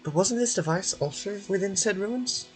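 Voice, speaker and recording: A man voicing a sharp, persistent female reporter from a video game, asking formal, pointed questions. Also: sits high, lively intonation, moderate pace, soft background music, voice pushed up. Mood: skeptical.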